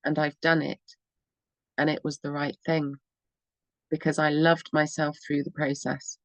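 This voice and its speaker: A woman talks in a low, encouraging voice as a radio host, rambling along and often laughing. She is recorded dry and close to the mic. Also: level tone, a little slow, no echo. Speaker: radio show host and EFT therapist. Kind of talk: casual spoken advice on a talk radio show.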